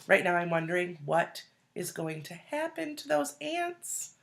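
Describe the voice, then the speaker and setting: Lady teacher reading a kids story, real slow, lowish voice, stops to explain and ask stuff. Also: very animated, vocal fry, a little hiss or hum. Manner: deliberate, questioning, explains to young listeners. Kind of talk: expressive storybook read-aloud with asides and questions.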